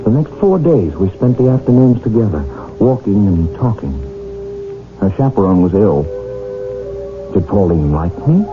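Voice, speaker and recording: An actor playing an earnest, lovestruck young gentleman in a radio drama, speaking formally and ardently. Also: lowish voice, sing-song, moderate pace. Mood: wistful narrating. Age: young adult. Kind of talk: radio drama acting with first-person narration. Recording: muffled vintage radio recording, music behind.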